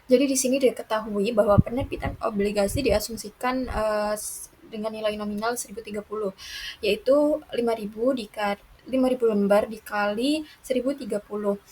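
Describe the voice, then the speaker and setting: A young woman giving a student lesson presentation in a halting way, with broken-off words and restarts. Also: medium pitch, darkish tone, poor audio. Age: young adult. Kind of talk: lesson presentation, working through accounting problems. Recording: noticeable background noise.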